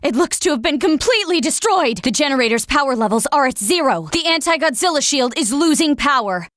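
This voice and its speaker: A high, female voice plays a military operations officer in a video game. She delivers announcements in a clipped, formal and urgent way, with firm command. The sound is close and dry, free of room reflections. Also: lively intonation, brisk, fairly bright tone, no room sound. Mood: alert, tense. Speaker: game voice actor.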